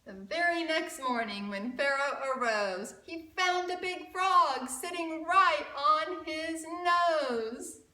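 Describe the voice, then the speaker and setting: A woman storyteller reading a picture book aloud to children, expressive and deliberate, often putting questions to her listeners. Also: high voice. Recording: roomy echo, distant mic.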